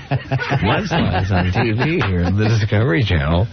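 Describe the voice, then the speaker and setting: A man's voice: lowish voice, sing-song, moderate pace, over a noisy crowd. A man who works as a radio announcer, reading ad copy. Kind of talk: radio commercial read breaking into ranting. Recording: dull lo-fi radio outtakes, music behind.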